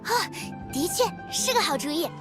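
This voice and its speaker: An eager young reporter, high-voiced. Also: female voice, lively intonation, normal speed, music in the background. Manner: chatty, courteous, bubbly. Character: young, eager, polite female newspaper reporter. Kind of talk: video game voice acting.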